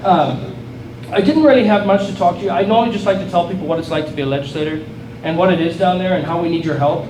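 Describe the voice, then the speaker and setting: South african guy speechifying, high voice, rallying, lots of restarts, echoey noisy room far from the mic. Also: fast. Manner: earnest, rallying, repeats and restarts phrases. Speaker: state legislator giving a speech. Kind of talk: political speech to a crowd. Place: event hall with an audience.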